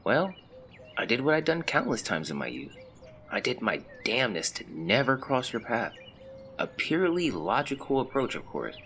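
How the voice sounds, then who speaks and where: An actor playing a melancholy young man who reads out a confessional farewell letter in measured, formal words, as in an audio drama. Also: mid-range voice, sing-song, a bit bright, a little hiss or hum. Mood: wistful, confiding. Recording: clear birdsong and outdoor ambience behind. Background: birdsong, nature ambience.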